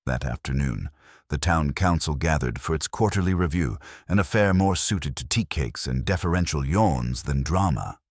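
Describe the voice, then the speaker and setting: Male narrator, very low register, measured literary delivery for mystery fiction, expressive intonation, close dry recording. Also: no echo. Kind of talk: scripted audio mystery story narration.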